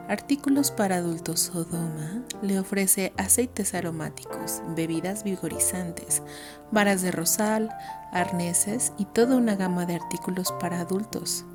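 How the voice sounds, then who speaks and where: A woman's voice: lowish voice, natural voice. A woman playing a radio announcer, reading an enticing parody advertisement. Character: female radio announcer selling adult goods in a parody ad.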